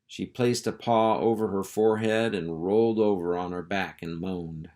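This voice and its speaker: A man reading a children's storybook aloud as an expressive narrator. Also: deep voice, moderate pace. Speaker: storybook reader.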